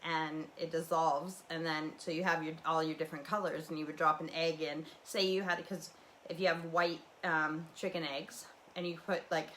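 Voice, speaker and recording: Woman, low voice, meandering livestream chat, faint background noise. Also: little pitch movement, normal speed, fairly bright tone. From Canadian prairies. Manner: chatty and meandering, with many fillers and restarts. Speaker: livestream host. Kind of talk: casual rambling livestream chat.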